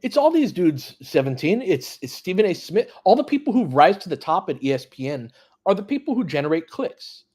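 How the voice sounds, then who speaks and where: A man's voice: sits high, very animated, a little fast, a little mellow, husky. A man hosting a basketball live stream, mixing casual play-by-play with conversational, opinionated, sweary fan commentary. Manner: partisan fan reacting to plays and chat. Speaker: former high school basketball coach.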